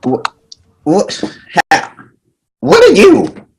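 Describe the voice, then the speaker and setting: A panicky, bewildered teenage boy acted by a man with a high voice that swings widely. He is hesitant and slow at a table read, over faint noise. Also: wildly swooping pitch, gravelly, darkish tone, full character voice. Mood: confused, alarmed. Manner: hesitant, stammering, alarmed. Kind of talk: screenplay table read acting.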